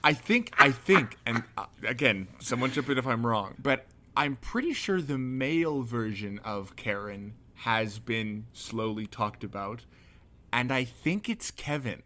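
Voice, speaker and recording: A man co-hosting a podcast in casual chat, playful and quick to joke. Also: mid-range voice, expressive, pushed and bright. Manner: playful, joking. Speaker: podcast co-host.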